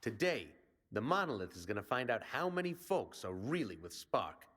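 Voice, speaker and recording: A man's voice: medium pitch, very animated, moderate pace, fairly bright tone. An actor playing a brash male resistance commander in a video game, rallying and plain-spoken like a soldier. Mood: confident briefing.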